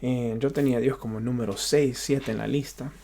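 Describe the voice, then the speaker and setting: Gravelly, halting Honduran man. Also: medium pitch, fairly even intonation, warm tone. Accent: Honduran Spanish. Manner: halting, searching for words, repeating himself. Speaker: pastor. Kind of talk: personal testimony, unscripted talk to viewers.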